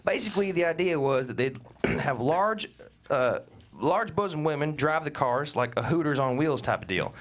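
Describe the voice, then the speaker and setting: A man reading the part of a crude, rambling washed-up husband who clears his throat a lot, at a table read. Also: on the high side, normal speed, natural voice. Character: crude washed-up husband Bert, rideshare driver.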